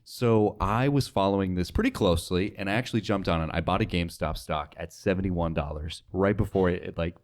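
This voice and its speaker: A man's voice: deep voice, a little fast, hardly any pauses, darkish tone. A man with an American accent talking casually as an interviewer on a conversational show.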